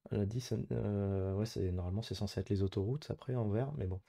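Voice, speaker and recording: Man, lowish pitch, dark mellow tone, casual gameplay commentary, hesitant muttering to himself. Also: a little fast, vocal fry. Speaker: gamer playing an online geography guessing match. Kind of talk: casual live gameplay commentary, thinking aloud.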